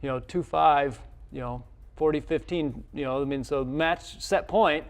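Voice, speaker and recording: Higher-voiced man, halting sports coach. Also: clear, resonant tone. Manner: halting, repeats words and restarts often. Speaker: college tennis coach. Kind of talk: casual sports interview.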